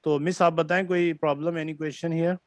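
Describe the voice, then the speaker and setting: A man's voice: fairly high pitch, flat delivery, normal speed, no echo. A man with a Pakistani accent teaching a French class online, explaining patiently and switching between Hindi-Urdu and English with many fillers.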